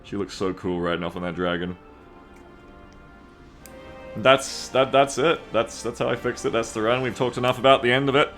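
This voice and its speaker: A man chatting casually to viewers as a game streamer, rambling, excitable and swearing freely. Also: mid-range voice, normal speed, pauses often. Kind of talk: casual livestream chat to viewers. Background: music.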